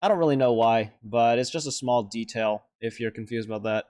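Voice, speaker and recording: A man's voice: medium pitch, fairly quick, darkish tone, no echo, clean studio sound. A man giving casual, off-the-cuff reaction commentary as a video host, rambling and hesitant as he thinks aloud.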